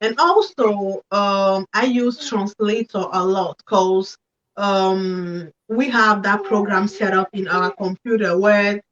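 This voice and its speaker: A woman's voice: mid-range voice, fairly even intonation, slow pace, a bit bright, recorded from a distance, dull audio. A woman with a French accent, a business coach speaking earnestly in an interview, often repeating words. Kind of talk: interview over video call.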